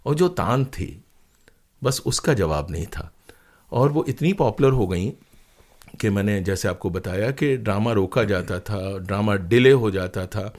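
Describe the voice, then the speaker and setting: An Indian man with a fairly low voice is the guest in an interview. He tells his stories in a conversational, animated manner, stressing points as he goes. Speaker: interview guest, broadcaster and playwright.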